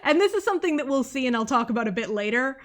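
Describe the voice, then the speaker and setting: A woman's voice: sits high, animated, racing. Earnest young woman reviewing books. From United States. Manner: earnest, analytical, with sidetracks and small laughs. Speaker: book reviewer. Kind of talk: scripted video essay review.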